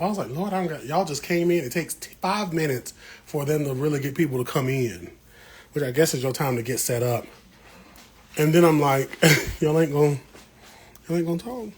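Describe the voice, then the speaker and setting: A man's voice: fairly high pitch, animated, brisk, a little mellow. An American man chatting casually on a livestream as he works, talking to viewers in a folksy, slangy way. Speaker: plant vlogger hosting a livestream. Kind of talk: casual livestream chat while working. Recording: clear background noise with room ambience.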